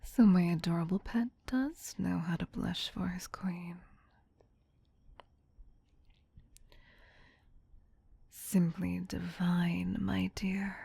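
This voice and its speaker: A woman performs a possessive, doting vampire queen for an ASMR roleplay. She speaks in a very breathy, hushed whisper with a slow, lingering pace. Her coaxing endearments are soft and tender. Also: fairly low pitch, exaggerated voice. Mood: teasing, adoring.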